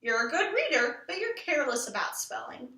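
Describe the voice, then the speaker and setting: A woman voicing a calm, gently teasing storybook father with a measured, matter-of-fact way of talking. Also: high voice, heavily acted. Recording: roomy echo, distant mic.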